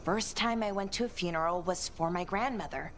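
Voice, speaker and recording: A woman voicing an earnest, wistful young elven woman in a video game, speaking reflectively with gentle hesitations. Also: fairly low pitch, a little fast, a little hiss or hum, lightly acted. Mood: reflective, tender. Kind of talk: video game dialogue acting. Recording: music under the voice.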